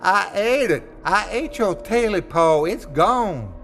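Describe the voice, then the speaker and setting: A man playing a lone old backwoods man, calling out in high, yelping dialect. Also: lively intonation, slow, vocal fry, fairly bright tone, soft background music, heavily acted. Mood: desperate. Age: older. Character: old backwoods man living alone, easily scared.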